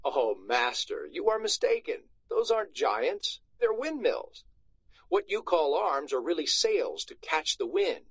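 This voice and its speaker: A man's voice: on the high side, sing-song, unhurried, gravelly, slightly rough, dry recording, exaggerated voice. A man voicing a simple, good-natured farmer squire in a storybook, plain-spoken and questioning, on a slightly muffled recording. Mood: puzzled, doubtful. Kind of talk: storybook read-aloud.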